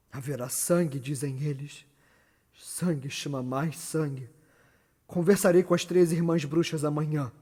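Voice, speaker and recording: A man's voice: on the high side, natural voice. An actor playing a brooding, guilt-haunted murderous king in a solemn, declamatory theatrical monologue. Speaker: stage actor. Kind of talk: dramatic theatrical monologue of Shakespeare. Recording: long hall reverb under loud music.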